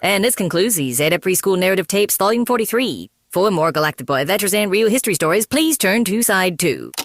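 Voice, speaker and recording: A performer playing a brisk, formulaic announcer on a children's story tape. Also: medium pitch, animated, gravelly, a bit bright, no echo, studio quality. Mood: brisk, instructional.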